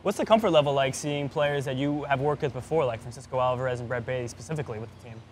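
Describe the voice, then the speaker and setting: A man's voice: medium pitch, quick pace, slight background noise. A man acting as interviewer in a casual, chatty sports conversation.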